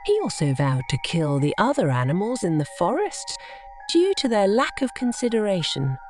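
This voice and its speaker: A woman's voice: mid-range voice, big pitch swings, normal speed. A woman narrating scripted bedtime stories for children as a storyteller. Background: music.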